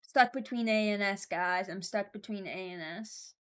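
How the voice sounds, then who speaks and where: A woman's voice: mid-range voice, normal speed. Rambling young woman, casual and unscripted. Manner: rambling, hesitant, thinking aloud. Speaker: video host ranking game voice lines.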